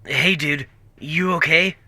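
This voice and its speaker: A man's voice: fairly high pitch, takes it slowly, noisy background. A man playing a friendly, concerned teenage boy with a casual, sympathetic manner in a comic dub.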